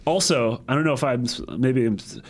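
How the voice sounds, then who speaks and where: A man with a medium-pitched voice chats on a podcast panel. He explains his points in a casual, conversational way. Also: fairly quick, slight background noise. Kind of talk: casual pop-culture panel chat.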